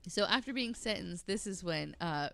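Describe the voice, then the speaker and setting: A woman's voice: mid-range voice, animated, moderate pace, gravelly, sharp tone. A woman telling a story in casual, chatty conversation, informal and given to jokey asides. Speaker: podcast guest panelist telling a story.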